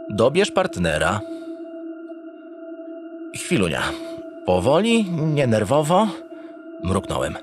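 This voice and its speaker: Very animated male storyteller over music. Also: mid-range voice. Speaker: narrator in character as a starship captain. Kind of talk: comedic first-person audio drama narration.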